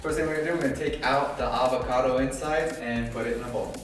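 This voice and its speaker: A man's voice: mid-range voice, fairly quick, heavy reverb, recorded from a distance, soft background music. A man co-hosting a home cooking tutorial, explaining the recipe in plain, loose everyday speech. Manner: relaxed, plain-spoken, loose phrasing. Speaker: co-host, host's husband.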